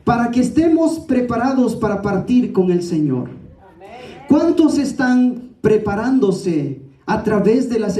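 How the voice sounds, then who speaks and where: High-voiced man preaching, very mellow. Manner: didactic and exhorting, with rhetorical questions and direct address. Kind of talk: sermon. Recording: echoey room, distant from the mic.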